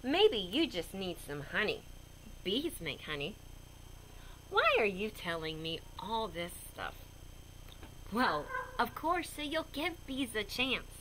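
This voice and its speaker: A woman reading a children's picture book aloud in a goofy, expressive way with chatty asides. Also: mid-range voice, slow, a little hiss or hum, low-quality recording. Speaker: storybook reader.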